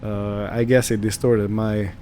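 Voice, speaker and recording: A man's voice: fairly low pitch, little pitch movement, a little slow, mellow, a little hiss or hum. A man giving casual, musing gameplay commentary, hesitant and thinking aloud as he goes. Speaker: gaming video host.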